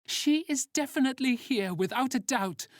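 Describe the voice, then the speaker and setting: A man's voice: very high-pitched, expressive, moderate pace, dry recording, studio quality, voice pushed up. A man voicing an agitated, confrontational teenage boy in a dramatic story. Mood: angry, defiant. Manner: emphatic, confrontational. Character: agitated teenage boy searching for his sister.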